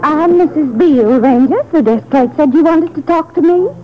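A woman's voice: high-pitched, moderate pace, a crowd in the background, close to an everyday voice. An actress playing a fussy, rambling older woman staying at a hotel, in a muffled 1950s radio drama. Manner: rambling, fussy, easily sidetracked. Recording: muffled vintage radio recording.

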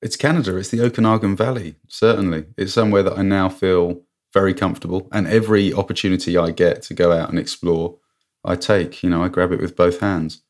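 A man with a very low voice co-hosting a casual podcast from a remote call. He talks earnestly and reflectively. He sometimes jumps up high in pitch when something surprises him. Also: fairly even intonation, a little fast, darkish tone. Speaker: podcast co-host. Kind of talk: casual chat.

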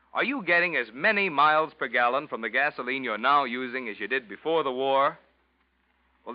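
Genial 1940s radio announcer man. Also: on the high side. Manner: genial, salesy. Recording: muffled old radio broadcast.

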